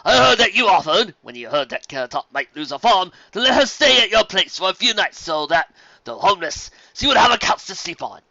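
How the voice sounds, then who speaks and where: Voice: mid-range voice, very animated, brisk, pushed and bright, dry recording, pitched above the performer's own voice. A reader doing a courteous aristocratic stallion. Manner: courteous and gracious. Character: polite, well-meaning aristocratic stallion. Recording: dull lo-fi recording.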